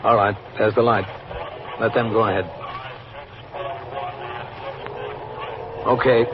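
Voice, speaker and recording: A man's voice: fairly low pitch, steady tone, moderate pace, natural voice. A man playing an authoritative New York police captain with an American accent, clipped and matter-of-fact in an old-time radio drama. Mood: calm, instructing. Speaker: narrator and police captain character. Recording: dull, noisy vintage radio recording.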